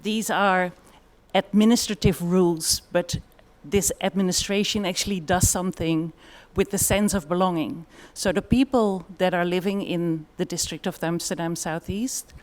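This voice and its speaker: Dutch-accented woman giving a conference talk, kinda low voice, lots of ums and uhs. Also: steady tone, moderate pace, few pauses. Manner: thoughtful, with frequent fillers. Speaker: conference speaker. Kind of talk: conference presentation.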